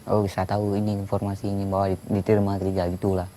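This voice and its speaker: A man giving casual, halting interview answers as a radio listener. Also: sits low, completely flat delivery, warm tone. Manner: halting, informal. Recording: faint background noise.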